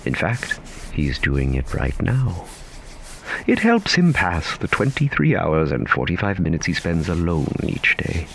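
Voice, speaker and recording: Casting a theatrical, whimsical storyteller man, extremely expressive melody, deliberate emphasis, radio drama narration over noticeable ambience. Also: deep voice, creaky, a little hiss or hum, heavily acted. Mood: whimsical, knowing.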